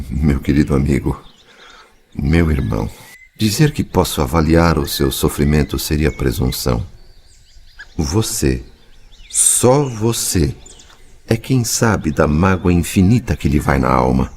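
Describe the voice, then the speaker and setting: A man's voice: extremely low pitch, animated, unhurried, vocal fry, a little mellow, slight background noise, exaggerated voice. An actor playing a compassionate, earnest male spirit friend in an audio drama, speaking in a solemn, consoling way.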